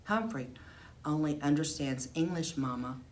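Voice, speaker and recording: A woman voicing a gentle, earnest, shy schoolgirl in a children's story. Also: sits low, moderate pace, a little hiss or hum, lightly acted.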